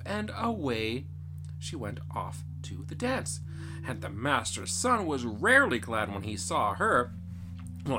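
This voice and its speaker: A man's voice: medium pitch, rough recording. A man narrating a storybook tale in a playful, theatrical way, with music behind him.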